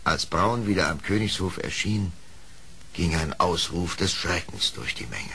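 Very deep, gravelly male storyteller. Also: animated, unhurried, noisy background. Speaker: narrator. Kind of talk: scripted storybook narration for a radio play.

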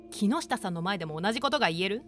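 A woman's voice: mid-range voice, animated, very fast, fairly bright tone, soft background music, close to an everyday voice. A woman acting a strict but encouraging primary school teacher, firm and polite, in a voice drama. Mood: stern.

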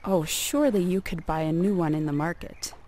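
A woman voicing a stern, composed goddess of a lake in a formal, measured storybook manner. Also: fairly low pitch, dark tone, a little hiss or hum, close to an everyday voice. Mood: calm concern.

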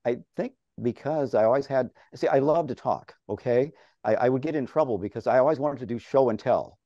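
A man's voice: mid-range voice, brisk, no echo. An older man, a longtime radio broadcaster, chatting animatedly as an interview guest over a video call, laughing often. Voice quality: strong voice. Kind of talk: casual storytelling. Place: home office. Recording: dull video-call audio.

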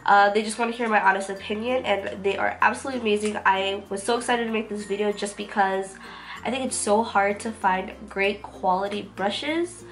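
A woman's voice: medium pitch, steady tone, fairly quick. A woman in her twenties with a nasal, congested voice from a cold, giving an enthusiastic, casual makeup review as a beauty vlogger. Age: 20s. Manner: enthusiastic, gushing. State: getting over a cold. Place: small room at home, indoors. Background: background music.

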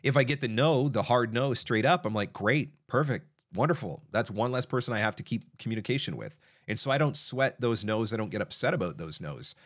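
A man's voice: mid-range voice, lively intonation, brisk, hardly any pauses, fairly bright tone. A man in his thirties hosting a live voiceover advice stream, answering chat questions in a conversational way with fillers and restarts, close to a studio mic in a small home studio. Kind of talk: casual advice livestream. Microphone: broadcast mic on arm, close.

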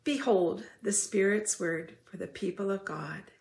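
A woman reads scripture for a worship service at a slow, deliberate pace. Her voice sits at an average female pitch. The sound is roomy, with a noticeable echo around her words. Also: vocal fry, darkish tone. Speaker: scripture reader. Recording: roomy, echoing church sound.

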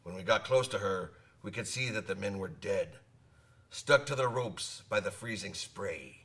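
A man's voice: lowish voice, normal speed, fairly bright tone. An actor in a radio drama playing a hearty old one-eyed sailor who spins boastful yarns in folksy nautical talk, repeating his phrases. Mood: grim, storytelling. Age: older.

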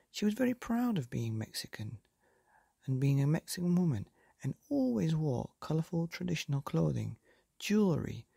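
Male bedtime storyteller, hushed and breathy, gravelly, unhurried, close dry mic. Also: on the high side, no room sound. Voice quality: soft breathy half-whisper. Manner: slow, gentle and lilting. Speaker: storyteller and podcast host.

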